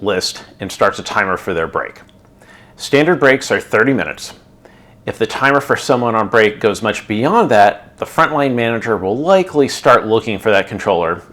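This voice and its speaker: Man, somewhat low voice, middle-aged, scripted instructional narration, clear and steady. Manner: clear, instructional, evenly paced explanation. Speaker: channel host explaining air traffic control.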